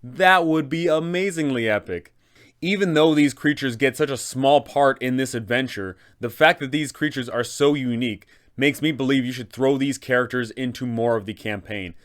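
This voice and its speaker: Male host for tabletop game advice: enthusiastic, punchy delivery at an average pitch, stressing key phrases. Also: normal speed. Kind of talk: enthusiastic scripted explainer for game masters.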